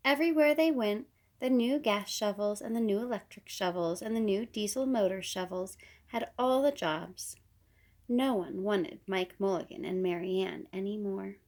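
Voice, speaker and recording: A woman reading a children's picture book aloud as a storyteller, unhurried and with deliberate pauses. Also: mid-range voice. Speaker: storybook reader.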